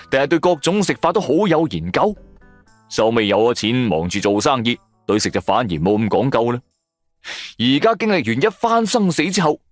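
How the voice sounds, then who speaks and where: A man's voice: mid-range voice, no room sound. A man narrating a first-person audio drama in Cantonese, in a dramatic scripted storytelling style.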